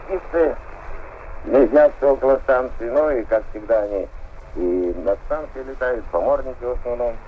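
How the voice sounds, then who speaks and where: A man's voice: mid-range voice, moderate pace. Man over a tinny, noisy radio link. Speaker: polar station worker being interviewed. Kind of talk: radio interview answers. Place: polar station radio room.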